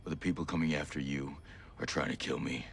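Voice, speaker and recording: Very deep, commanding soldier. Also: male voice, fairly even intonation, fast, gravelly, slight background noise. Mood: firm, guarded. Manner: terse, commanding. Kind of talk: video game cutscene acting.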